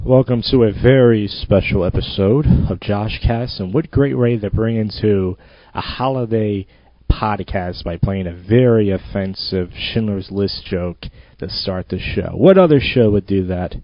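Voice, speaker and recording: Low-voiced man hosting a podcast. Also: measured pace, dry recording. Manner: rambling, self-mocking, loose. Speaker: podcast host. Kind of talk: casual podcast monologue. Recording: dull lo-fi home recording.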